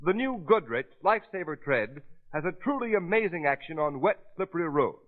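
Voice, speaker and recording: A man's voice: a little high, sing-song, brisk. A man announcing in a polished, emphatic, dramatic 1930s radio style, reading from a script. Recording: thin, phone-like 1930s radio recording.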